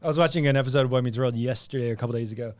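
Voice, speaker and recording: A man's voice: mid-range voice, very fast, no echo. A man co-hosting a podcast in casual, irreverent chat, often slipping into mock quotes. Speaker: podcast co-host. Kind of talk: casual joking group chat.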